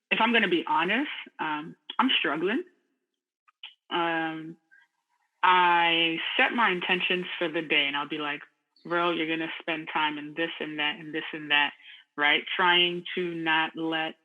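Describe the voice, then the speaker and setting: Candid, slangy podcast co-host on a lo-fi call. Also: mid-range voice. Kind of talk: casual conversation. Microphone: video call. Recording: dull lo-fi video call audio.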